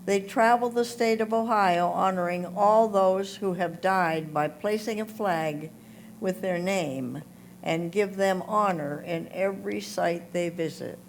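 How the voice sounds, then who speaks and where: A woman reading photo captions aloud in a measured, slightly halting manner. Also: mid-range voice. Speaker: reader of photo captions at a photo club presentation. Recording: clear background noise with hum.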